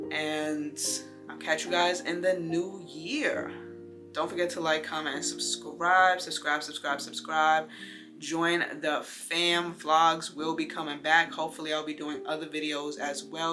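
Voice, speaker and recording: A woman's voice: sits low, bright, forceful tone. A woman in her late twenties, a vlogger talking casually to her viewers in a rambling, heartfelt way with lots of fillers. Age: 20s. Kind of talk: casual talk to camera.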